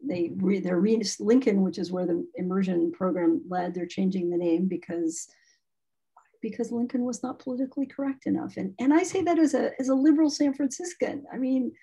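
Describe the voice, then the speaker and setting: A woman talks in an easy, opinionated way over a video call. Her voice is mellow and slightly husky. She sounds at ease, like someone used to explaining things. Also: mid-range voice, brisk, rough recording. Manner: chatty, frank, opinionated. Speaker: guest speaker on immersion schooling. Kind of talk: casual video-call talk.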